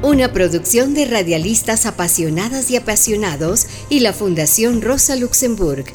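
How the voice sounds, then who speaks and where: A woman's voice: a little high, expressive, normal speed. A woman reading scripted production credits as a radio announcer. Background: clear background music.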